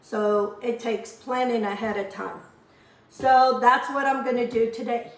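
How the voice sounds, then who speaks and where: Hoarse woman, slow and roomy. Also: on the high side, steady tone, distant mic. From Azores. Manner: chatty, homely, rambling asides. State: hoarse from a lingering cough. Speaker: cooking channel host. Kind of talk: casual cooking demonstration. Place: home kitchen. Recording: roomy kitchen, voice off-mic.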